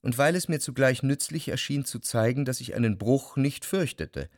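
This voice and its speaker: An actor playing an introspective, doubting gentleman who narrates his love in a literary radio drama. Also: mid-range voice, animated, moderate pace, dry recording, lightly acted. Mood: pained, confessional. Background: music.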